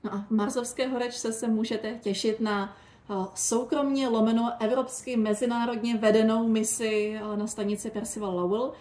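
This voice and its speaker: A woman's voice: on the high side, steady tone, mellow. A woman hosting an informal interview podcast, asking questions and addressing listeners. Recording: roomy, echoing sound.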